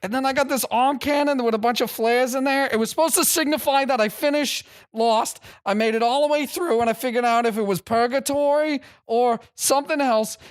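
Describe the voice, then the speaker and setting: High-pitched, rambling radio co-host played by a woman. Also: fairly quick, few pauses, dry recording, clean studio sound, natural voice. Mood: worked up. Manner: rambling, stammering. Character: rambling, panicky, TV-obsessed boat radio co-host. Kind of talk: improvised comedy radio sketch.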